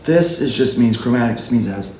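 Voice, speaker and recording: A man with a low voice giving a relaxed, halting dulcimer lesson as a worship leader. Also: reverberant, a little hiss or hum, low-quality recording. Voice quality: low speaking voice. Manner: unhurried, halting explanation. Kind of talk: casual music tutorial.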